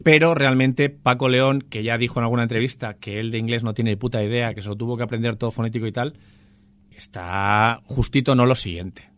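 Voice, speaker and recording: A man's voice: mid-range voice, fairly even intonation, a little fast. A man chatting casually about a film he enjoyed, talking with enthusiasm and frequent restarts. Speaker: film reviewer on a radio show. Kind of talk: casual chat.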